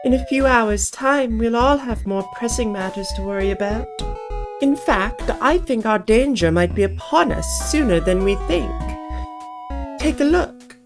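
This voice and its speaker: A woman's voice: on the high side, lively intonation, a little slow, over music. Woman playing a composed, regal chess Queen. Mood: tender, calm.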